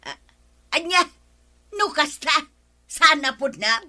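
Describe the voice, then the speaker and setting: A woman's voice: sits high, very animated, slow, sharp tone, exaggerated voice. A woman playing an indignant, quarrelsome village woman in a comedy drama, with a Filipino accent and an exclamatory way of talking. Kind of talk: Ilocano comedy radio-drama acting.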